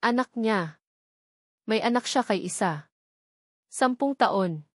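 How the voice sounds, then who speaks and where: A woman's voice: fairly high pitch, lively intonation, slow pace, dry recording, studio quality. A woman narrating a scripted romance story in a dramatic storytelling style.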